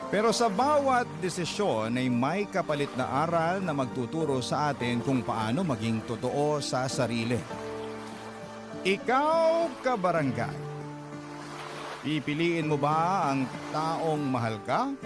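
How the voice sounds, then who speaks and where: A man narrating a listener's heartfelt letter for a radio drama in an earnest, measured way. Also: a little high, sing-song. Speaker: narrator reading a listener's letter and hosting the segment. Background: loud background music.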